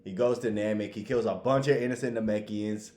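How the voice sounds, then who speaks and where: A man's voice: lowish voice, fairly even intonation, a little fast, darkish tone. A man co-hosting a podcast, giving blunt opinions in casual, slangy, sweary conversation. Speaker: podcast co-host. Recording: echoey room with faint background noise.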